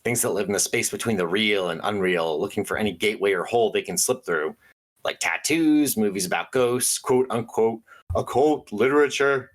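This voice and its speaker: An actor playing an earnest small-town radio host who speaks in a conspiratorial, hesitant way with dry asides. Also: male voice, fairly low pitch, lively intonation, moderate pace. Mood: ominous, earnest. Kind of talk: audio drama radio call-in hosting.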